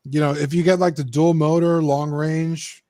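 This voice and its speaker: A young man talks loosely on a hobby podcast, filling gaps with ums and dropping the odd curse. His voice sits on the higher side for a man. The sound is close and dry, with no room around it. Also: flat delivery, normal speed, warm tone, no echo. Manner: loose, rambling, many ums and swears. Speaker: co-host. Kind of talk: casual podcast chat.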